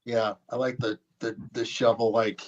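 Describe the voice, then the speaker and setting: Male panelist, muffled lo-fi sound, medium pitch, casual halting delivery. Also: flat delivery. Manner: halting, informal. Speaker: panel participant. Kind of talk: casual chat. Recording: dull, lo-fi microphone.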